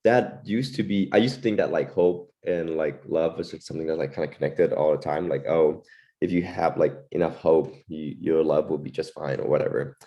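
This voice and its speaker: Laid-back young man, very deep voice. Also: steady tone, brisk, dark tone. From Thailand. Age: young adult. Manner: loose and laid-back, many "like" fillers. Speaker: guest, wedding photographer. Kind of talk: casual interview chat.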